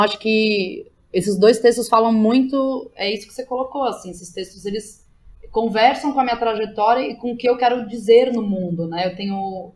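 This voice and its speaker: A Brazilian woman chatting casually as an interview guest, with informal slang and frequent tag questions. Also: mid-range voice. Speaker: interview guest, playwright and theatre director. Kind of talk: casual podcast conversation.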